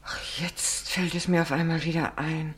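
A woman's voice: low voice, flat delivery, moderate pace, lightly acted. An actress playing an anxious, hesitant woman in a German radio play, flustered and fretful. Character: anxious, hesitant female neighbour.

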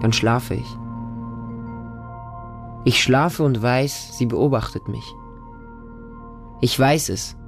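A man's voice: medium pitch, slow pace, vocal fry, pauses often, soft background music. A man playing a small, wary homeless boy who narrates his hungry street life in short, simple sentences for a radio drama. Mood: quiet, wistful. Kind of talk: radio drama monologue in present tense.

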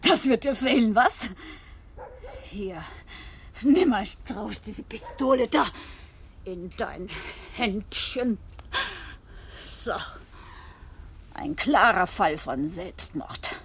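A woman's voice: medium pitch, expressive, drawn-out words, long pauses, breathy edge, heavily acted. An actress playing a nervy, cold-blooded woman who talks to herself theatrically in a 1970s German radio play. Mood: mocking. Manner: theatrical, talking to herself in exclamations. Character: Françoise, cold-blooded nervous young Parisian blackmail victim turned killer.

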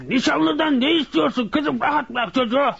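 A man's voice: extremely high pitch, a little fast, gravelly, fairly bright tone, heavily acted. A man playing a middle-aged father in a radio drama, pleading with and chiding his daughter. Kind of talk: Turkish radio drama acting. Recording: muffled radio drama recording.

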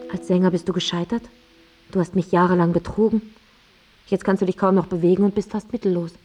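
An actress playing a fed-up wife in a radio drama, coolly rational and unsparing, with a husky voice. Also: female voice, fairly low pitch, steady tone, quick pace, dark tone, soft background music, close to an everyday voice. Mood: cool, resolute.

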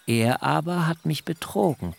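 Slow, gravelly patriarch shepherd Jakob. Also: male voice, a little high. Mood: aggrieved, weary. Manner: measured, solemn, deliberate phrasing. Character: Jakob, weary, solemn patriarch shepherd pleading his case. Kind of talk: literary radio drama.

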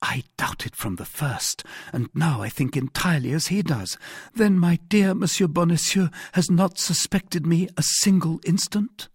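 A man's voice: on the high side, a little slow, breathy edge, no room sound, studio quality, pitched above the performer's own voice. A man voicing an anxious, pleading young married woman in an audiobook, with eager, formal old-fashioned speech. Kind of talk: audiobook character voice.

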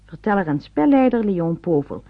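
A woman's voice: lowish voice, big pitch swings, normal speed. Female announcer on tinny old recording. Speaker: announcer reading radio play credits. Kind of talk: scripted announcement.

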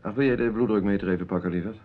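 An actor playing an absent-minded, irritable doctor and husband in a radio play, hesitant and blustering in manner. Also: male voice, lowish voice, racing, natural voice. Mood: preoccupied. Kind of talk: Dutch radio play dialogue. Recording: dull lo-fi radio play recording.

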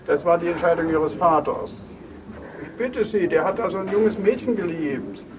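A high-voiced man played by an actor confronts someone bluntly and judgmentally in an audio drama. He is insistent and accusing, heard away from the microphone amid clear background noise. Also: lively intonation, moderate pace, lightly acted. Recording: noisy, distant microphone with background ambience.

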